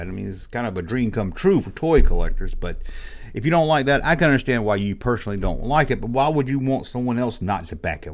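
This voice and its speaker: A man hosting a toy collecting channel, ranting bluntly in a casual, exasperated way, his voice hoarse from being sick. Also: medium pitch. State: hoarse, losing his voice after illness. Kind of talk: casual opinion rant to camera.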